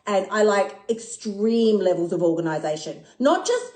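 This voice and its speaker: A woman in her fifties who talks excitably, swears a lot and laughs often during a casual live stream. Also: mid-range voice, moderate pace. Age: 50s. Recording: echoey room.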